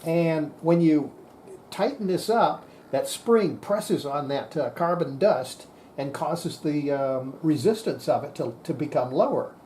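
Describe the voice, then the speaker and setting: A man's voice: a little high, expressive, measured pace, darkish tone, slight background noise. A man giving an informal hands-on technical explanation, plain-spoken and folksy, thinking aloud as he demonstrates equipment. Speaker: presenter demonstrating radio equipment.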